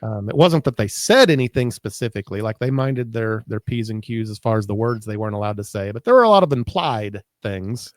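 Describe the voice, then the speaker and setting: A man's voice: fairly low pitch, animated, a little fast, few pauses, no echo, clean studio sound. A middle-aged American man co-hosting a podcast in casual conversation. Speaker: podcast co-host. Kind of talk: casual chat.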